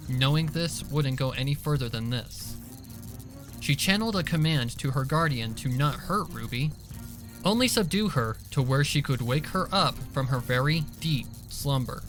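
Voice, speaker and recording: A man reading scripted dramatic story narration as the narrator of an audio drama. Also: fairly high pitch.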